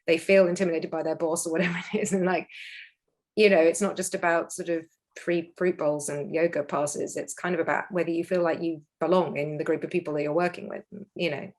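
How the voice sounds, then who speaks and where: A woman's voice: lowish voice, fairly even intonation, brisk. A woman thinking aloud in a casual discussion, rambling with many fillers. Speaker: discussion participant.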